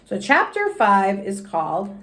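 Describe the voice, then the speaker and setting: A woman's voice: medium pitch, huge pitch swings, a little slow, a bit bright. A woman speaking as a teacher doing a storybook read-aloud, with asides and questions for the children. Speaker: teacher reading aloud to students.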